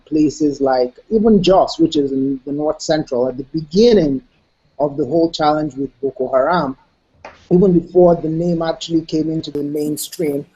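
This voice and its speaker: A middle-aged man answering as a guest in a television interview, speaking in a measured, analytical way with frequent pauses. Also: fairly high pitch, dark tone, husky, low-quality recording. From Nigeria.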